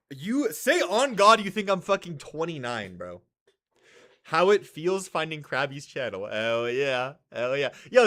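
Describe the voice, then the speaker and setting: Young American man streamer, twenties, bright, animated delivery, slangy and excitable. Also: medium pitch. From California, US. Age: 20s. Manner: excitable, slangy, swears, says "bro"; reads and answers chat messages. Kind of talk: casual live-stream chat.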